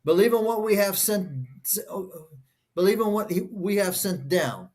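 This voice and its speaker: A man's voice: high-pitched, animated, normal speed, a little mellow. A man whose first language is Portuguese, reading verses aloud and stopping often to question each phrase in a casual discussion. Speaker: co-host reading scripture.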